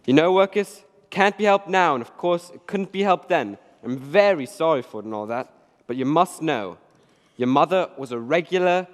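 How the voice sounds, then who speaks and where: A man's voice: animated, moderate pace, big-room sound, lightly acted. An actor playing a bullying young male undertaker's apprentice, taunting and sneering in a radio drama. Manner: sneering, taunting, shrill when frightened.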